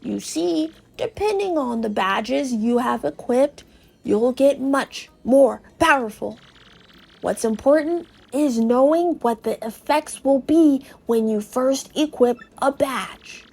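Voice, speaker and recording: A woman's voice: high voice, expressive, slow pace, vocal fry, a little mellow, slightly rough, exaggerated voice. A woman voicing a shriveled old male Goomba professor from a video game, explaining things in a fussy, cautious way. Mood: instructive. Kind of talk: video game character read aloud.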